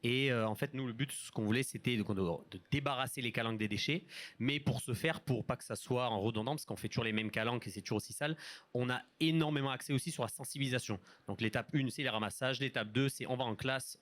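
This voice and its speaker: Very fast-talking man from Marseille. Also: medium pitch, a bit bright. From Marseille, France. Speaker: association president, panel guest. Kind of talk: casual explanation on a live panel.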